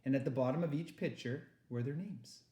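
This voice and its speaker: A man reading a children's novel aloud with expressive narration, recorded in an echoey room. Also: mid-range voice, a little fast. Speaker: read-aloud reader.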